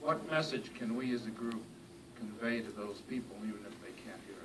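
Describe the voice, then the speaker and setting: A man's voice: lowish voice, a little fast. A man in an audience putting an earnest question to a speaker. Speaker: audience member asking a question. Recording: far from mic, clearly noisy.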